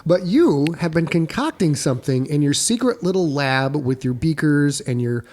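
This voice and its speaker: A man's voice: a little high, animated, moderate pace, darkish tone. A man chatting casually as an author on a podcast, joking and playing up his amazement. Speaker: author guest in podcast banter. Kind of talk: casual chat.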